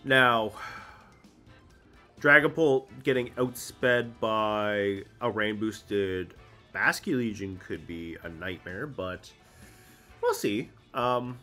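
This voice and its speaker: A congested man with a cold talks through his game team in a fairly low voice. He explains casually, pausing with ums as he thinks. Soft music plays faintly behind him. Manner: chatty, explains strategy, frequent ums. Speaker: Pokemon VGC content creator.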